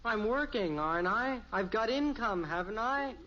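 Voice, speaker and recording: A woman's voice: on the high side, animated, moderate pace, a bit bright. A performer playing an earnest, scheming American teenage boy in a radio sitcom, boyish and defensive. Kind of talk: 1950s radio sitcom acting. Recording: muffled old radio recording, faint hiss.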